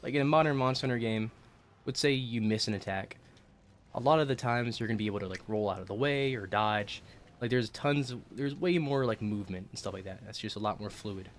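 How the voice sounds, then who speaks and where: A man streaming a video game, chatting casually and jokingly while playing, his throat getting a little rough as he's coming down with a cold. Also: lowish voice, brisk, a little hiss or hum. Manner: joking, rambling with restarts. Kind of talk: casual gaming livestream chat.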